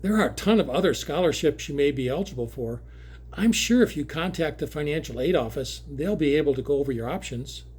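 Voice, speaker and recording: A man playing a sympathetic, even-keeled coach who delivers hard news patiently in an audio drama read. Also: a little high, husky. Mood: sympathetic, regretful. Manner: patient, measured, apologetic.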